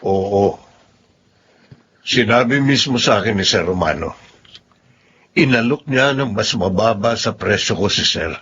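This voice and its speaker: Earnest Filipino engineer husband, low-fi sound. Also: male voice, lively intonation, measured pace, long pauses, fairly bright tone, lo-fi sound. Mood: sad, betrayed. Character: downhearted, loyal engineer husband, earnest and polite. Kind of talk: Filipino radio drama acting.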